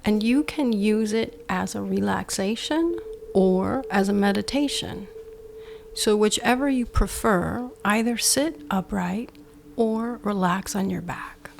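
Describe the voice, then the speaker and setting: A woman guiding a meditation with measured instructions, in short phrases that often end hushed. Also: medium pitch, vocal fry, slight background noise. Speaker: meditation guide. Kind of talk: slow guided meditation, instructional.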